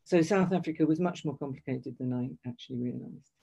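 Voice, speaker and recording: A woman's voice: extremely low pitch, a little fast, creaky, mellow, highs cut off, slight background noise. An older woman telling a long personal story in conversation, hesitant, restarting and correcting herself often. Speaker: guest.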